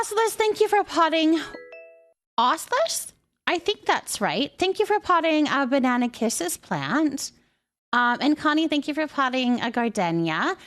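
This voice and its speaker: A woman with a Canadian accent chatting casually to viewers on a livestream, effusive and affectionate, often repeating words for emphasis. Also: high voice. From British Columbia, Canada.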